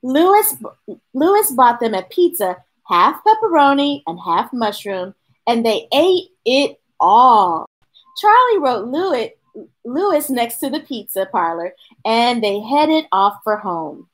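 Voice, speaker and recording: A middle-aged woman reading a picture book aloud to children, expressive, with chatty asides to her young listeners. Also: a little high. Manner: expressive, drawn-out delivery addressing young listeners. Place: small indoor room with bookshelves.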